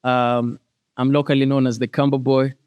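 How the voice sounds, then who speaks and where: A man's voice: medium pitch, level tone, moderate pace, smooth, dull audio. A man with a Kenyan accent, chatting casually as a musician guest in an interview. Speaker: musician guest, singer and rapper.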